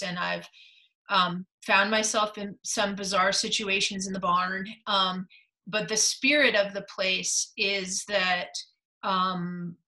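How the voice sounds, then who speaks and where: A woman dean of faculty answering a question at an online open house, reflective and unhurried. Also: medium pitch, pushed and bright.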